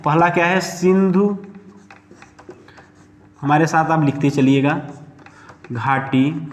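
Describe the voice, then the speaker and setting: A male teacher with a slightly high voice gives a lecture from the board, asking questions and answering them himself. He slows right down and gets quieter when he dictates words for notes. The room is echoey, with a long reverb tail. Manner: asks and answers his own questions, slows down to dictate words while writing. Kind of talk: classroom lecture with note dictation.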